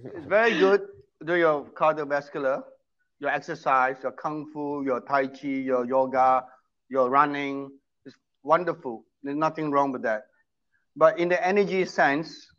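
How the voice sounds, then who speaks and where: A man's voice: fairly high pitch, unhurried, fairly bright tone. A man in his sixties, talking casually and emphatically, often repeating his points. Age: 60s. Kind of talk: casual chat. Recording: muffled lo-fi audio.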